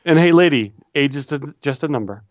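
A man's voice: a little high, animated, fairly quick, dry recording, muffled recording. A man co-hosting a casual chat, reading advice letters aloud with easygoing, dry humor. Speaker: podcast co-host.

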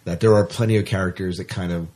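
A man's voice: sits low, steady tone, quick pace, darkish tone. A man chatting casually as a podcast co-host, rambling along and often repeating his words and phrases.

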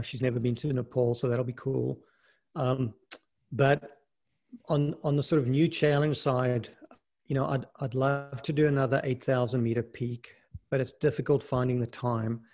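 A middle-aged man who grew up in South Africa talks casually as an interview guest, often restarting his sentences. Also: mid-range voice. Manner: relaxed, with frequent restarts and "you know". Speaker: guest, investment fund manager and mountaineer.

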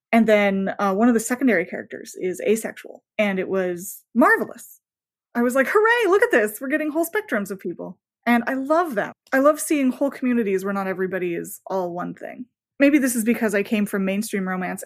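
Female podcast guest, mid-range voice, conversational, animated storyteller with nerdy enthusiasm. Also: mid-range voice. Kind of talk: casual interview conversation.

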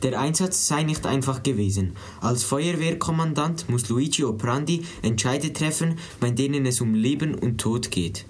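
A man's voice: mid-range voice, moderate pace, hardly any pauses, a little hiss or hum. Casting: male teen student reporter, mid-range voice, reading scripted narration. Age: teenager.

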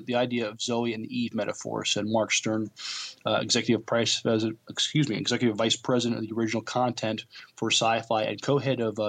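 A man co-hosting a podcast, talking and reading news in a hesitant way, often stumbling over words. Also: lowish voice. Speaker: podcast co-host.

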